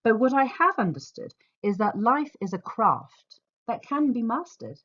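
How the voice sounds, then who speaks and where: An English woman reading a scripted personal reflection in a measured, earnest, expressive way. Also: mid-range voice. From England. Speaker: author reading her foreword. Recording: slightly dull, muffled audio.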